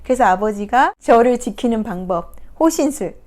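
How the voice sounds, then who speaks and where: A woman counselor giving a reflective talk, retelling a picture book in a gentle, unhurried way with soft hesitations. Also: on the high side, expressive. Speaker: counselor and coach. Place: recording her first video talk.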